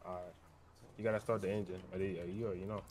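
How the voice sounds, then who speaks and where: A man's voice: low voice, flat delivery, rapid-fire. A man talks casually in street slang while role-playing in a game. He repeats phrases as he goes, and there is faint noise on his mic. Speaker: gamer role-playing a gang member online.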